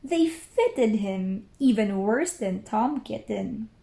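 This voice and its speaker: A woman storyteller reading a children's book aloud expressively and deliberately, with careful pauses. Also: mid-range voice, expressive, gravelly, dark tone. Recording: roomy echo.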